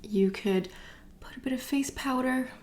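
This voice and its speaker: A woman's voice: high voice, a little slow, darkish tone. Chatty woman unboxing beauty products. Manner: chatty, rambling, with many asides and fillers. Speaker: beauty vlogger. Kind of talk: casual product unboxing chat.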